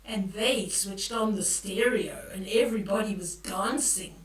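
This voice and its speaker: An older woman reading a bedtime story aloud to young children, expressively, with drawn-out emphasis and questions for the listeners. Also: mid-range voice. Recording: echoey room, distant from the mic.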